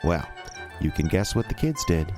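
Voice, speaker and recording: A man's voice: sits low, big pitch swings, normal speed, a little mellow. A man acting as a folksy storyteller, narrating an audio drama directly to listeners. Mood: folksy, wistful. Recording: music behind.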